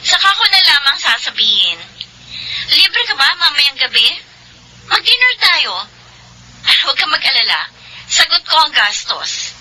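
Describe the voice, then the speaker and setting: A woman's voice: high voice, very animated, unhurried, long pauses, sharp tone, a little hiss or hum, exaggerated voice, rough recording. A woman acting a scheming, vain young rich woman in a Filipino radio drama, with a haughty, theatrical manner. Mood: composed, direct.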